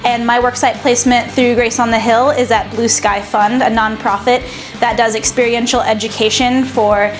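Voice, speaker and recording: A young American woman introduces herself to camera in a fairly high voice. Faint music plays behind her. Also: flat delivery, moderate pace. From Arkansas, United States. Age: 20s. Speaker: service program intern.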